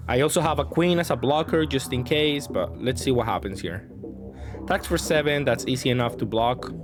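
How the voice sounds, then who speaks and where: A man's voice: medium pitch, a little fast, over music. A man giving casual card game commentary, thinking aloud and hedging his choices as he plays.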